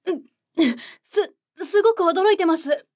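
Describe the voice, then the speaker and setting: A woman's voice: very high voice, measured pace, a little mellow. A woman voicing a polite, careful, reserved young boy in an anime-style voice drama. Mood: polite, hesitant.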